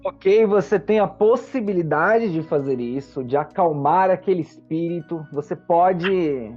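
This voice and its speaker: A man narrating a tabletop game as game master, theatrical and full of deliberate pauses. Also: a little high, darkish tone, lo-fi sound, soft background music.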